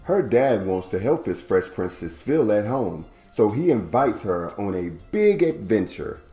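A man in his thirties hosting a storytime, reading a children's picture book aloud indoors with a playful, animated delivery. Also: on the low side, a little slow, soft background music. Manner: animated, playful, stretches words for young listeners. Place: indoor room at home.

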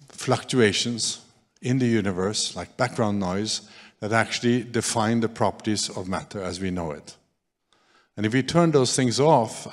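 A man in his sixties giving a loud, casual, self-deprecating lecture into a handheld mic in a large hall. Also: fairly low pitch. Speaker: speaker. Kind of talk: public lecture. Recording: long reverb of a large hall.

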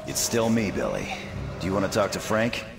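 A man playing a steady, gruff special forces captain with an authoritative manner in a video game. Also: low-pitched, normal speed, over music, full character voice. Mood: calm, low. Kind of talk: dramatic video game acting.

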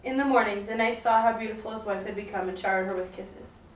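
A woman's voice: medium pitch, quick pace, distant mic. A young woman narrates a scripted tale in a mid-range voice. She is recorded in an echoey room, a little away from the microphone, with faint noise around her. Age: young adult. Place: indoor room, puppet show recording.

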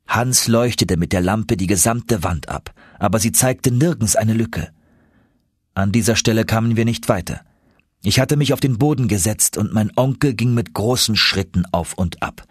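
A man's voice: fairly low pitch, normal speed, breathy edge, dry recording, studio quality. A man narrating an adventure audiobook in a dramatic, scripted storytelling style.

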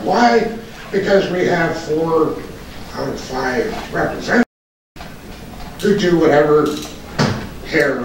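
An older man delivers a biting, sarcastic public comment, his voice sitting high for a man. He sounds distant, caught from the audience in a large council chamber full of echo. A faint hum of room noise runs underneath. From England. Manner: angry, sarcastic, scolding. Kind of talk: public comment at a county board meeting. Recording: echoey hall from far off, noisy.

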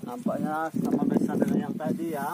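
A man's voice: on the high side, little pitch movement, brisk, heavy background noise. A man on a fishing trip bantering casually and joking with his companions. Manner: joking, loud laughing.

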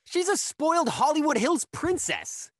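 A man's voice: extremely high pitch, wildly swooping pitch, normal speed, a bit bright, no echo, clean studio sound, exaggerated voice. A young man playing a cocky, hot-headed young actor in an audio drama. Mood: angry, sneering. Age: young adult.

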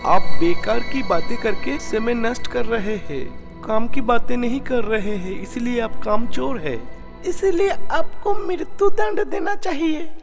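A man's voice: very high voice, animated, a little slow, gravelly, heavily acted. A man voicing an indignant, argumentative court poet in a children's cartoon. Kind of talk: Hindi children's cartoon dubbing. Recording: reverberant hall with music.

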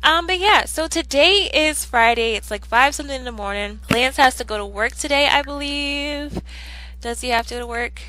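A woman's voice: high voice, moderate pace, bright, forceful tone, no echo, low-quality recording. A woman chatting casually through a life-sim game playthrough, upbeat and rambling with fillers, her throat slightly ticklish from a coming cold. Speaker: let's play host. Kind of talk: casual gameplay commentary.

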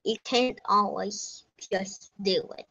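Young female gameplay narrator: slow, casual, rambling delivery with a slightly high voice, recorded on a dull home mic. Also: fairly even intonation, pushed and bright. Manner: rambling, self-correcting, slips into whispers. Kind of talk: casual game commentary. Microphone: desk microphone.

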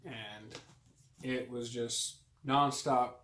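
A man's voice: mid-range voice, fairly even intonation, drawn-out words, a bit bright. A young man chatting casually about books as a co-host of a home video. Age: young adult. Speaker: book vlogger co-host. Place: small room at home with bookshelves. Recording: roomy echo from camera distance.

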